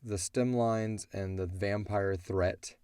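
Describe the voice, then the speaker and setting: A man's voice: on the low side, fairly even intonation, slow, darkish tone, clear, resonant tone, no echo, clean studio sound. A middle-aged man hosting a casual question-and-answer chat as the creator of a show. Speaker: audio drama creator and show host.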